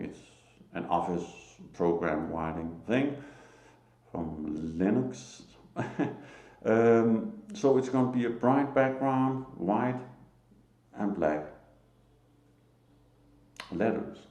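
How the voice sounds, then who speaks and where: A man from Denmark with a Danish accent, giving a solo religious talk to camera in a halting, emphatic way. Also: fairly low pitch, expressive, dark tone, echoey room. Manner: slow, halting, emphatic, with short laughs. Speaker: lay preacher.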